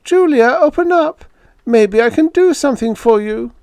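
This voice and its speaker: A man's voice: very high-pitched, animated, moderate pace, darkish tone, clear, resonant tone, dry recording, pitched above the performer's own voice. A man voicing a nosy, pushy older woman neighbor who coaxes and pries. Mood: prying. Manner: coaxing and prying.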